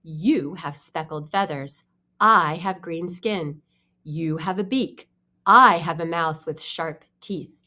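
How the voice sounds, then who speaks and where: A woman's voice: lowish voice, takes it slowly, pushed and bright, voice pushed down. A woman voicing a hungry but gullible mother crocodile in a children's storybook, with emphatic, dramatic delivery. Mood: menacing. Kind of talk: acted children's storybook read-aloud.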